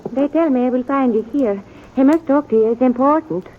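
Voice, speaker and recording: Woman acting as stubborn bride, highish husky voice, broken english, old muffled radio western. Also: a little fast, a little hiss or hum, natural voice. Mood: anxious, insistent. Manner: broken English, emphatic and plain-spoken. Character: earnest, stubborn, trusting immigrant mail-order bride. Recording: thin, muffled vintage radio recording.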